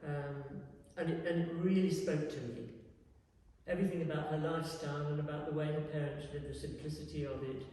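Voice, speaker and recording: An older woman with a Lancashire accent, telling her life story thoughtfully in an interview, pausing and restarting as she recalls. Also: low-pitched, steady tone, mellow. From England, Lancashire. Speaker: interviewee, textile artist. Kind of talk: reflective personal storytelling. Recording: roomy echoey hall, distant mic.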